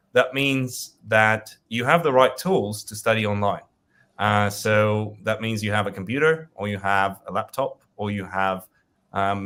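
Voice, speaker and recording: A man speaks in a fairly low voice. He presents in a webinar, filling his sentences with "uh" and "um" as he goes. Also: fairly bright tone. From Russia. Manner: hesitant, many fillers. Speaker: company founder presenting.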